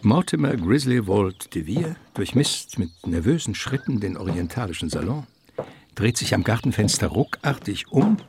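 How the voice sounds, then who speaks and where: An actor narrating a mock gothic mystery radio play in a theatrical, savouring, suspenseful literary style. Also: male voice, fairly low pitch, very animated, normal speed, background noise.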